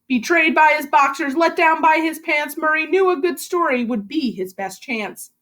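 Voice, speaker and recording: A woman reading a rhyming storybook aloud to children as a teacher, with an expressive, rhythmic delivery. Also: high-pitched, moderate pace. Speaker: elementary school reading teacher.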